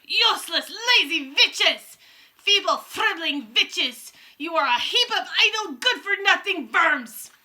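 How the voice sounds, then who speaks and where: A woman's voice: extremely high pitch, lively intonation, moderate pace, sharp tone, pitched above the performer's own voice, heavily acted. A woman voicing a furious, screaming old witch ruler giving orders to her followers. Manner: screaming, harsh foreign-tinged commands.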